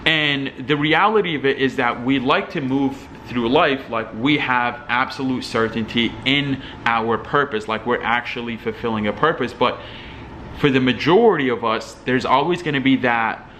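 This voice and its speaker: A young man from Algeria speaks in an average-pitched voice, rambling through casual commentary with lots of fillers and restarts. Faint noise hums behind him. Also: normal speed, bright, forceful tone, poor audio. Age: young adult. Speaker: entrepreneur vlogger. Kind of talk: casual talking-head commentary.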